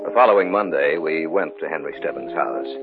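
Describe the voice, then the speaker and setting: A man's voice: extremely low pitch, brisk, narrow, tinny audio. An actor playing a level-headed man who narrates his own eerie tale in earnest 1950s radio drama style. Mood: grave narration. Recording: thin old radio recording, loud music.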